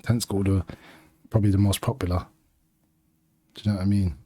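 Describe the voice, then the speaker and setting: Sniffly podcast host, low mellow voice, loose slangy chatter, close dry mic. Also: male voice, little pitch movement, brisk, husky, no room sound. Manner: slangy, rambling, laughs easily. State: sniffly with hay fever. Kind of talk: casual chat.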